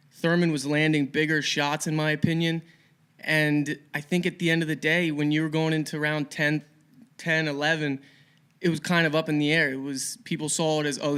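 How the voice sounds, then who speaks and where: A man co-hosting a sports podcast, giving casual fight analysis in an earnest, measured way. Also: on the high side, fairly bright tone, clean tone. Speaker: boxing podcast co-host.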